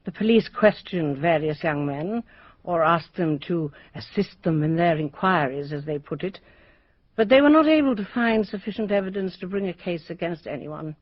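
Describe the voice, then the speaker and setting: A woman playing a brisk, unsentimental headmistress, speaking formally and bluntly in a radio drama. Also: on the low side, animated, dry recording. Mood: measured, formal. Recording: dry, slightly dull radio audio.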